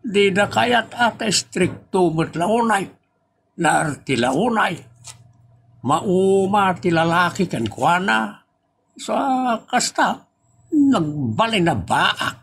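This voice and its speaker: A man's voice: high voice, big pitch swings, slow pace, gravelly, a bit bright, slightly rough, heavily acted. A man with an Ilocos accent from the northern Philippines playing a grumbling, teasing older father in a comedy audio drama.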